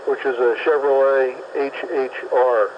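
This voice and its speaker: A man's voice: mid-range voice, fairly even intonation, unhurried. A man talking on a CB radio while driving his car, upbeat and chatty, describing his route. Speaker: mobile CB radio operator. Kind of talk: casual CB radio chat. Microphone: mobile CB radio handset. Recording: muffled CB radio with static.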